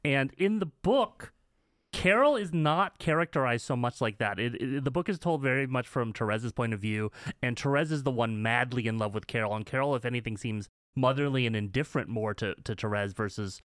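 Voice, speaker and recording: A man co-hosting a podcast, casually discussing in an emphatic, halting way with repeated words. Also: mid-range voice, a bit bright, dry recording, clean studio sound. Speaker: podcast co-host.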